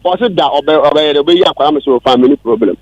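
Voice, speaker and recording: Male caller, Ghanaian accent: high-pitched, dry sound, insistent and repetitive in a heated radio dispute. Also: fast talker, no room sound, highs cut off. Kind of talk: heated argument.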